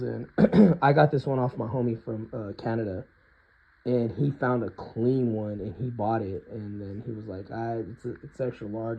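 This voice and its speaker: A hoarse man talking informally and with strong opinions in an unscripted collector's review, often clearing his throat. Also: mid-range voice, dark tone, lo-fi sound. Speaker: hoodie collector reviewing products. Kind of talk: casual unscripted compare-and-contrast review.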